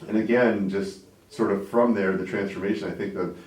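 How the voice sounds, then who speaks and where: A very deep-voiced man in his forties, from Canada, chatting loosely as an interview guest. He rambles and keeps checking in with "right?" and "like". He sounds set back from the mic in an echoey room. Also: steady tone, a little fast, darkish tone, recorded from a distance. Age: 40s. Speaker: interview guest, business owner. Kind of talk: casual interview talk.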